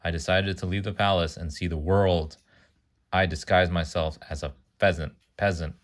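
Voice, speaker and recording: A man reading a children's storybook aloud to a child, stumbling over words now and then, correcting himself and adding chatty asides. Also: low-pitched.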